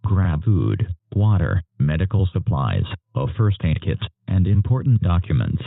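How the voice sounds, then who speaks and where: A man with a gravelly, synthetic-sounding voice reads a scripted emergency alert in a flat, formal manner. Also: deep voice, a little slow. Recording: echoey, narrow phone-like alert broadcast.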